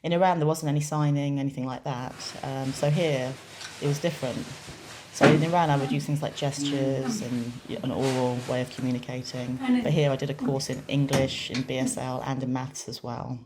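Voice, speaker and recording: A woman interpreting a deaf guest's answers in the first person, measured, with frequent pauses and restarts. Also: a bass voice, steady tone, background noise.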